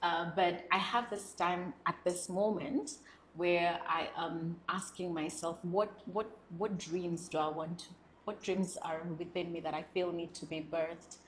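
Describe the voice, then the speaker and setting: A young woman from South Sudan, talking as an interview guest in an earnest, expressive way. Her voice sits low for a woman. The sound carries a clear echo from the room. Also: a little slow, a little hiss or hum. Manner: earnest, expressive, laughs often, animated when speaking with pride. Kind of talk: reflective interview conversation.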